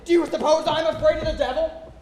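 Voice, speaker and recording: A man on stage playing a boastful, excitable sailor with comic, blustering bravado. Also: very high-pitched, quick pace. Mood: defiant, blustering. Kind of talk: theatrical stage acting. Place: college theatre stage. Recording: roomy auditorium stage recording, some hiss.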